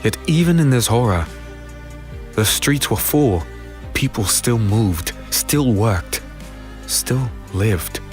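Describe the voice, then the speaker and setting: A man playing a weary, brooding fantasy hero who narrates his own dark tale in a deliberate, somber, dramatic way. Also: mid-range voice, lively intonation. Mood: ominous, tense. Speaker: narrator and lead character. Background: music.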